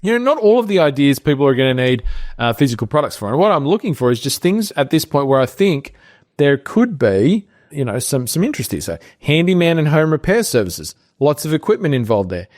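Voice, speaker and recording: A middle-aged Australian man, co-host of a business training show, walks through a screen-share tutorial in a relaxed, explanatory way, thinking aloud with fillers. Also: fairly high pitch, a little fast.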